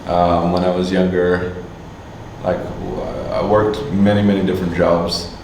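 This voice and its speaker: A man from Canada answering interview questions casually, halting with many fillers and restarts. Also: low-pitched, level tone. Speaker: musician and agency owner. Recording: distant mic, echoey room, faint hum.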